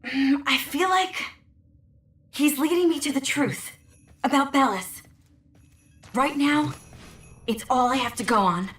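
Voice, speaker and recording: An actress playing a young, troubled but determined warrior in a sci-fi game, speaking hesitantly and earnestly. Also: female voice, sits high, a little slow, long pauses, a bit bright. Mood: resolute. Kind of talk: sci-fi game character dialogue. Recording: echoing voice with faint noise.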